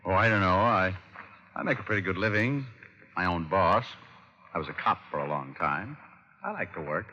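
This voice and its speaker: An actor playing a wry, tough American private detective in an old radio drama, speaking in a laconic, offhand way. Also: male voice, sits low, expressive, moderate pace, long pauses, pushed and bright, breathy edge, exaggerated voice. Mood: wry, relaxed. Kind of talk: 1940s-50s radio drama acting and first-person narration. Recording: dull old radio recording with music.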